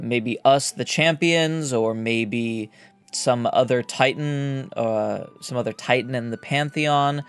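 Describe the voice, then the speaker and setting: A man's voice: mid-range voice, clean tone. A man hosting a gaming video, giving informal lore commentary in a hesitant, explanatory way, as if thinking aloud. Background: background music.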